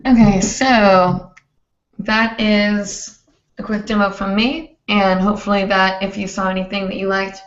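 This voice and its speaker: A young woman hosting a hoop dance livestream, hoarse and chatty, rambling with many fillers. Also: medium pitch, level tone, measured pace. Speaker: host. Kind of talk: casual livestream chat. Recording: roomy, dull lo-fi stream audio.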